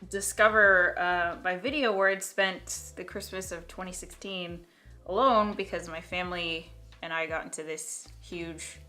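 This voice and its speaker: Bright-voiced woman vlogging, thinking aloud. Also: fairly low pitch, steady tone, unhurried, soft background music. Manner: thinking aloud, hesitant restarts and trailing off. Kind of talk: reflective talking-head vlog monologue.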